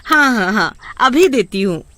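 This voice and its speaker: A woman voicing a cheerful, caring young street-food seller in an animated story, lively and sing-song. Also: a little high, unhurried, no echo. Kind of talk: animated Hindi story dialogue.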